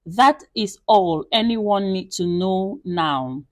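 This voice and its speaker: A woman's voice: mid-range voice, expressive, slow pace, darkish tone, no room sound, clean studio sound. A woman voicing a weary male pastor in a story, curt, guarded and defensive.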